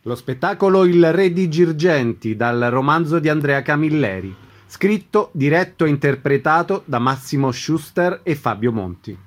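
A man's voice: fairly high pitch, animated, normal speed. A man reading a scripted radio announcement as the station's announcer.

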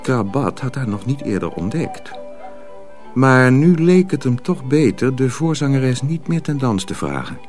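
A man's voice: mid-range voice, big pitch swings, measured pace, darkish tone, faint music behind. A man narrating a radio drama as a scripted storyteller.

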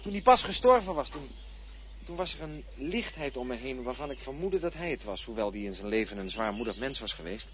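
A man's voice: mid-range voice, sing-song, brisk, fairly bright tone, lo-fi sound, a little hiss or hum. An actor playing a grieving son who tenderly recalls his late father in a radio play. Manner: tender, reflective storytelling.

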